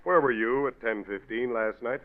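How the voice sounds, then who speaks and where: Actor as a measured police lieutenant, muffled radio. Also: male voice, medium pitch, close to an everyday voice. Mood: politely probing. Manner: polite, deliberate, probing. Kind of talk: 1940s radio mystery acting. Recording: muffled, narrow-band old radio recording.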